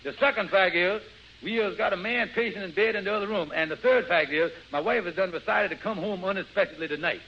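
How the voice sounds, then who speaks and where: A high-voiced, scheming husband from a 1940s radio comedy, forever stammering and wheedling. His folksy lines come through a heavy layer of old broadcast noise. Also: quick pace. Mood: anxious. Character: scheming, flustered married man running a rest home. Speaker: lead comic actor. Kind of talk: 1940s radio sitcom acting. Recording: muffled, noisy old radio recording.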